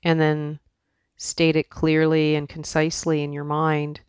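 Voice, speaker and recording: A woman teaching yoga guides a meditation softly and soothingly, leaving long pauses between her instructions. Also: low voice, completely flat delivery, smooth, dry recording, clean studio sound.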